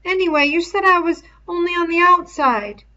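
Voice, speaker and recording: A woman voicing an anxious, stubborn schoolboy in a storybook, shrill and defensive, with ungrammatical boyish speech. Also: normal speed, pushed and bright, muffled recording. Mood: stubborn, eager. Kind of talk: storybook read-aloud character voice.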